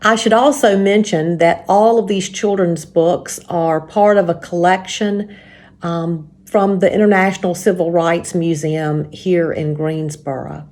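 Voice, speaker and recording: Lower-pitched woman reading for children. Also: a little mellow. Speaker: church story time reader. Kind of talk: reading a children's book aloud with spoken introduction.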